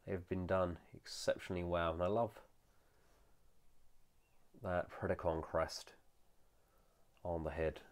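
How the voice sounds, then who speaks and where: A man's voice: deep voice, fairly even intonation, takes it slowly, long pauses. A man with a nasal voice, recovering from a cold, giving an enthusiastic, chatty toy review with frequent "uh" fillers. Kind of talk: casual product review.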